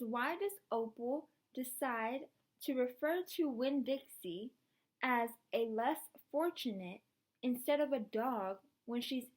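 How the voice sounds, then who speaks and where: A woman with a fairly high voice speaks as a schoolteacher to students. Her delivery is expressive and encouraging, suited to storybook reading and simple lessons. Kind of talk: storybook read-aloud and lesson to camera.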